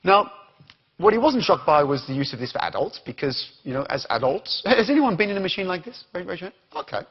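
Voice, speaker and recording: Australian male keynote speaker, husky, higher-pitched, dull lo-fi capture. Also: quick pace. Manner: animated, questions the audience. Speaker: conference speaker. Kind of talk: interactive keynote talk.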